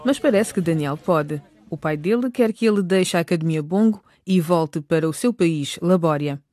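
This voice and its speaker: A woman's voice: lowish voice, normal speed, dark tone. A woman narrating a radio drama in a scripted storytelling style.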